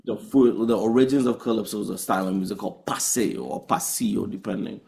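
A man's voice: fairly low pitch, brisk, vocal fry, darkish tone. A man with a Trinidadian accent, a touring jazz musician answering interview questions earnestly, often restarting his phrases.

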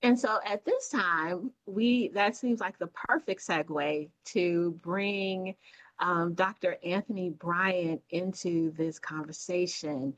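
A woman hosting a radio talk show, introducing guests in a deliberate way. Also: lowish voice, low-quality recording. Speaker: radio host.

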